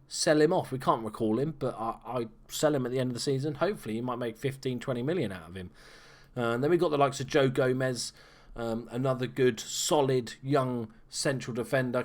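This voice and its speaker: This is a young man with a strong Scouse accent, walking his viewers through a football management game. He talks in a relaxed, chatty way, catching and fixing his own slips as he goes. His pitch sits in the middle range. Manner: chatty, relaxed, self-correcting. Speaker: video game guide host. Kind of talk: casual game commentary over footage.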